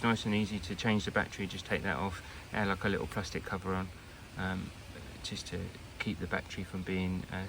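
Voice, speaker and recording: Low-voiced British man with background noise. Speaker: product reviewer. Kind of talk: casual hands-on product review.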